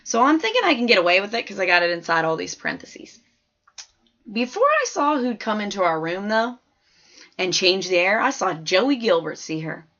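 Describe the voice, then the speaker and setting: A woman's voice: medium pitch, big pitch swings, normal speed, a bit bright. Woman voicing a chatty fourth-grade girl storyteller, slightly dull audio. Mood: chatty, matter-of-fact. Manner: chatty, folksy, emphatic childlike storytelling. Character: plain-spoken, observant fourth-grade girl telling her story. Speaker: audiobook narrator. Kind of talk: scripted first-person story narration.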